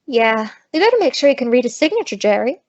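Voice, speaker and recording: Lady acting sassy wisecracking gal from old radio comedy, high voice, kinda muffled recording. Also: sing-song, darkish tone. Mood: take-charge. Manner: wry, brisk, take-charge. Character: sassy, brash, wisecracking, bold young woman heroine.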